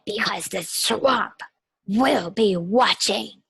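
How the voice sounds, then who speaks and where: A woman's voice: medium pitch, gravelly, a bit bright, breathy edge, no room sound, clean studio sound, exaggerated voice. Scratchy, menacing witchy teacher, as a woman puts her on. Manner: menacing, drawn-out. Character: mean strict scratchy-voiced substitute teacher, woman.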